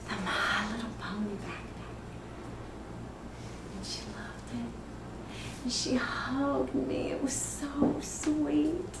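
Slow, halting woman acting a disturbed mother. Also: mid-range voice, lightly acted. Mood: fond, wistful. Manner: halting, drifting between murmurs and sudden emphasis. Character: disturbed mother confessing murder, wistful, eerily childlike. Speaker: actor performing a film monologue. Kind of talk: dramatic film monologue in an acting workshop. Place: echoey indoor studio room. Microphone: distant microphone. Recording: echoey room, distant mic, clear noise.

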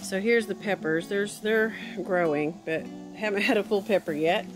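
A woman's voice: medium pitch, normal speed, low-quality recording. A woman chatting cheerfully as she walks through her backyard garden, filming with a handheld phone. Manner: chatty, cheerful, thinks aloud. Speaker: homesteader vlogger. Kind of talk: casual walking garden tour. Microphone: phone camera held while walking. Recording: guitar music underneath.

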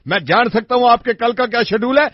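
A radio prankster playing a stern, impatient police officer who interrogates people over the phone in a bossy way. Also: male voice, very high voice, quick pace, no room sound, muffled recording, pitched above the performer's own voice. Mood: indignant.

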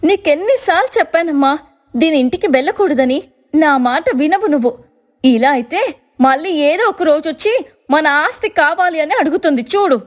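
A woman voicing a spiteful, quarrelsome young sister-in-law in a moral story, with sharp, sarcastic scolding. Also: sits high, animated, normal speed. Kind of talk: dramatized moral story dialogue.

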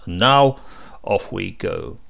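A man voicing a gruff, sly police brigadier in a storybook tale, with a sardonic, knowing manner. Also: deep voice, animated, slow pace, fairly bright tone, muffled recording, pitched below the performer's own voice, full character voice. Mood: mocking.

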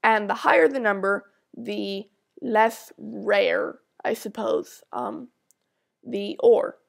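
A woman with a stuffy, congested voice, talking at an unhurried pace through a coding tutorial. Her voice has a gravelly edge of fry as she reads out code while typing and thinks aloud between steps. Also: mid-range voice. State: stuffy nose. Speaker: tutorial maker.

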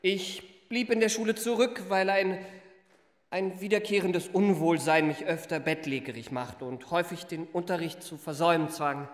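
A man's voice: sits high, moderate pace, close to an everyday voice. An actor playing an eloquent, obsequious young man under questioning in a radio play, stilted and earnest. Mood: earnest, eager. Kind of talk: German radio play acting. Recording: echoey hall, long reverb.

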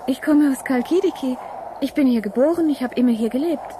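A woman's voice: sits high, moderate pace, noisy background, lightly acted. An actress in a radio play voicing a naive, hesitant young village girl, wary and puzzled, at times close to whispering.

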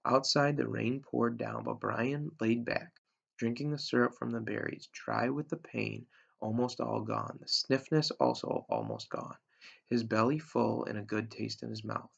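A man in his thirties with a gravelly, husky voice, reading a storybook aloud like a teacher to a class. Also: medium pitch, expressive, a little slow. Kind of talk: read-aloud storytelling narration. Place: small room indoors at home. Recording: dull, lo-fi webcam sound.

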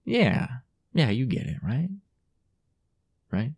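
A man playing a possessive, teasing young boyfriend in an improvised intimate roleplay audio, coaxing in a husky, breathy, hesitant murmur. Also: medium pitch, very animated, darkish tone, heavily acted. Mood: hesitant, jealous. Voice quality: husky, gravelly, often breathy or whispered. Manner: hesitant, coy, coaxing murmur with drawn-out pauses.

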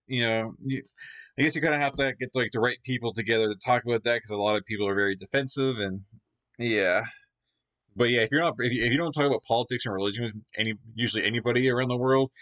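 A man's voice: fairly low pitch, a bit bright. A man in his thirties from Canada, hosting a reaction video from his home gaming room and rambling casually with lots of fillers. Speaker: reaction channel host.

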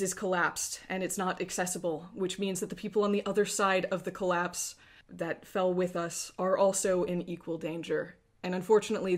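A woman voicing a weary, patient male regent in a tabletop game, explaining gravely and tiredly. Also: on the low side, close to an everyday voice. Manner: tired, sighing, measured explanation.

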